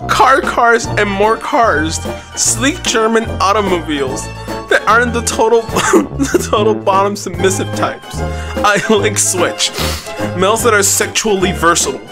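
A giggly person doing a mocking, dramatic comedic reading of text from the internet. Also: mid-range voice. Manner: giggly, often breaking up while reading. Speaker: online video maker reading a text aloud. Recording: music behind the voice. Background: music.

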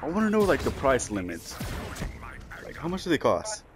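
A man from the United States doing informal, halting video commentary as a gaming channel host who makes prank calls. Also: mid-range voice, huge pitch swings, background noise.

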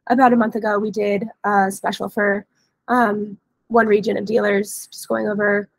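Female webinar trainer, thirties. Scratchy, heavily creaky voice with a cold, even pitch, informal and explanatory, close headset sound. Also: normal speed, dry recording. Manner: casual, explanatory. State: hoarse from a cold. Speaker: webinar trainer, dealer support staff. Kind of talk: informal software training walkthrough. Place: indoor room, on a video call.

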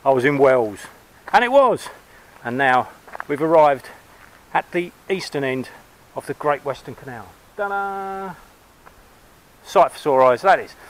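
A man's voice: fairly high pitch, poor audio. A man in his forties from England, chatting to an action camera while riding along country roads and a canal towpath. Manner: chatty, upbeat, rambling asides. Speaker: cycle touring vlogger. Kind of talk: casual talk to camera while cycling. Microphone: action camera on the bike or held at arm's length. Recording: outdoor action-cam audio with wind noise.

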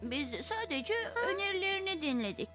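A woman's voice: sits high, big pitch swings, normal speed. A woman voicing a sensible, caring young rabbit in a children's cartoon dubbed in Turkish. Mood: reassuring. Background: music.

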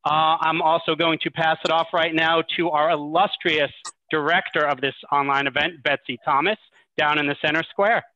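A man's voice: fairly high pitch, steady tone, moderate pace, sharp tone, no echo. A man hosting an online charity event, making announcements to the audience over a video call. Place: indoor room, on a group video call. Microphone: video-call microphone. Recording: dull, muffled video-call audio.

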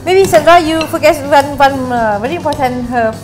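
Older lady chef, highish voice, halting simple talk, music and clinking pans behind. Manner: halting, simple phrasing with fillers. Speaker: chef, mother of the co-host. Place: restaurant kitchen studio, indoors. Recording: studio cooking show with music under the voices. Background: music, clinking pans and cutlery.

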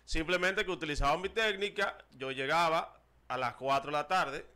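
A man's voice: a little high, normal speed, pushed and bright. A man, hosting a studio radio show and telling boastful, playful stories about himself. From Dominican Republic. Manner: boastful storyteller, playful, slangy. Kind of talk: joking studio banter. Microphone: desk microphone.

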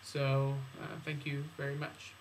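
A man's voice: medium pitch, monotone, a little slow, a little hiss or hum, low-quality recording. A man who is a native English speaker and teacher, formally introducing his classes in careful, prepared phrasing. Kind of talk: video introduction of own classes, prepared talk.

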